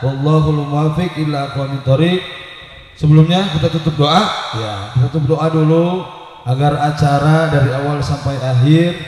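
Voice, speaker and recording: A man's voice: on the high side, normal speed, a little mellow. A man leading an Islamic gathering as its preacher, in praise, prayer and address to the congregation. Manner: apologetic asides to the audience when talking. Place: mosque event, over loudspeakers. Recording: very echoey hall, music behind.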